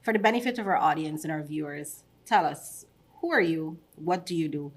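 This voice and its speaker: Husky low-voiced woman hosting. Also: expressive, moderate pace. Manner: polished, welcoming introductions. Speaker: podcast host. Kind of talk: podcast interview hosting.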